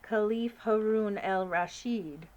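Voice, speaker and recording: Woman reading a blunt sultan, plain and unhurried. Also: high voice, highs cut off. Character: matter-of-fact male sultan.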